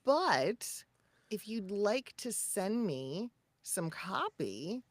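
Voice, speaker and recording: A woman co-hosting a podcast, giving casual, conversational advice in an animated, emphatic way with short punchy asides. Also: medium pitch, very slow, noisy background. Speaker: podcast co-host and voice actor.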